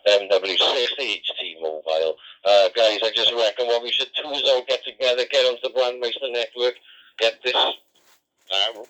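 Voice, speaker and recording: A man's voice: mid-range voice, normal speed, sharp tone. A man speaking as an amateur radio operator, disapproving and exasperated, over a lo-fi digital radio transmission. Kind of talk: radio argument. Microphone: radio transceiver microphone.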